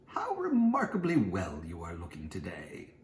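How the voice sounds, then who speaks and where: A man's voice: deep voice, wildly swooping pitch, normal speed. A man voicing a sly, falsely friendly, vengeful Italian nobleman in a theatrical storybook reading. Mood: falsely cheerful. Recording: roomy echo, faint background noise.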